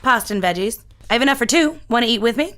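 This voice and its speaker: A woman's voice: on the high side, animated, fast talker, bright, forceful tone. An actress playing an easygoing, kindly single woman in an audio drama. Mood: fond, easygoing.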